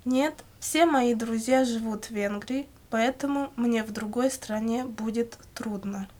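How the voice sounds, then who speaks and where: A woman reading scripted language-course questions and answers in a measured, carefully enunciated way. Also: on the high side, a little mellow. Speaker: language lesson reader.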